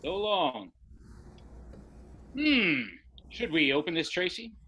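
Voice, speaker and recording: A man's voice: sits high, sing-song, slow pace, bright, forceful tone, husky, full character voice. A man acting a stiff, suspicious fiancé in a radio play, with a hesitant manner. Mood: awkward.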